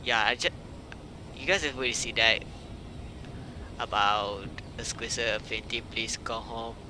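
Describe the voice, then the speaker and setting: Man, non-native English, slow and fragmented delivery, casual game streaming, noisy microphone. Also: mid-range voice, steady tone. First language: not English. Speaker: video game live streamer. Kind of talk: casual live-stream gameplay commentary. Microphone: microphone without its cover. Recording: windy, noisy uncovered home microphone.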